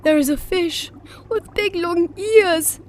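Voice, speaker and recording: A woman's voice: very high voice, takes it slowly, slight background noise, pitched above the performer's own voice. A woman voicing a jovial, easily amazed father pig in a children's storybook.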